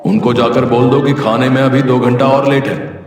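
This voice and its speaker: A man's voice: medium pitch, fairly even intonation, normal speed, lightly acted. Man as a wily miser boss, very echoey. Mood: bossy. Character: wily, miserly rich businessman, smugly self-justifying. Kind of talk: cartoon story dialogue. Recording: very echoey, distant, music underneath.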